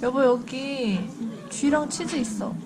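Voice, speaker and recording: A woman chatting casually with her spouse in a teasing, expressive way, as in a family vlog. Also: high voice, takes it slowly, very noisy. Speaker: family vlogger. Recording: loud music behind the voice.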